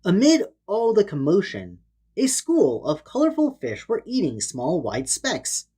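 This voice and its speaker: A woman's voice: fairly low pitch, huge pitch swings, a little slow, warm tone, slightly rough. A woman reading a children's storybook aloud in a dramatic, theatrical way. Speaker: storybook narrator. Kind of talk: expressive children's read-aloud narration.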